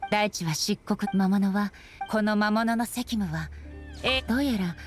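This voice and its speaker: A woman's voice: medium pitch, fairly quick, natural voice. A woman playing a polite, composed, serious character in a fantasy video game, formal in manner, with music behind.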